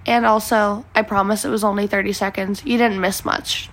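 A podcast host recording a quick aside on her phone's voice memo app, explaining a cut in an apologetic way. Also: mid-range voice, little pitch movement.

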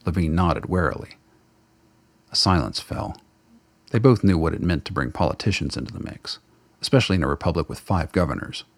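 A man with a gravelly, husky voice reading scripted narration for a radio play. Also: low voice, expressive, a little fast, no echo.

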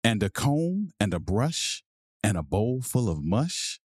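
Guy reading a kids picture book for bedtime, real slow and measured, dry close sound. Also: lowish voice, sing-song, husky, no room sound. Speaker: podcast host reading a children's picture book. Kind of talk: bedtime story read aloud.

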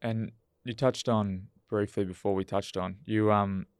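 A man co-hosting a podcast, with a low, smooth voice. He asks long questions that wander and restart often. The recording is dry and close. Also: fairly even intonation, measured pace, no echo. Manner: rambling questions with many restarts and "like". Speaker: podcast co-host. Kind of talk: casual interview chat.

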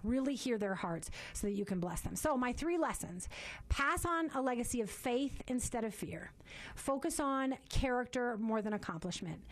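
A woman in her forties speaks in a loud, quick, earnest way, with a slightly high voice. She hosts a faith-based talk for mothers and often repeats words as she starts a thought again. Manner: loud and fast-talking.

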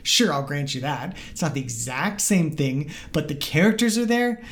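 A woman's voice: low-pitched, sing-song, normal speed. A woman hosting a podcast, chatting and debating casually in an insistent, bantering way. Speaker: podcast host.

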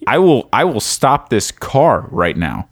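A man's voice: medium pitch, big pitch swings, a little slow, slightly rough, dry recording, clean studio sound. A man co-hosting a casual podcast, a drummer, joking and self-mocking, his voice a bit stuffed up today. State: voice stuffed up, deeper than usual. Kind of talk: casual chat.

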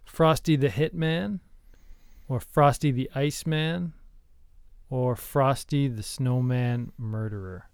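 A man co-hosting a comedy podcast, chatting casually in a deadpan, mock-earnest way. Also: medium pitch, slow, smooth, no echo, clean studio sound. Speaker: podcast co-host.

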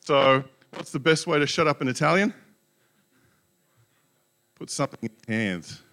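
Gravelly bright-voiced New pastor. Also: male voice, medium pitch, moderate pace. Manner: chatty, self-joking, many fillers. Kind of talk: casual sermon to a congregation. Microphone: handheld microphone.

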